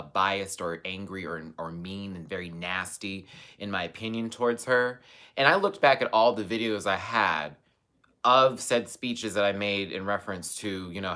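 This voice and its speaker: A man's voice: deep voice, sharp tone. A man chatting casually on a livestream as host, venting to viewers in an emphatic, sassy, colloquial way and repeating phrases for stress.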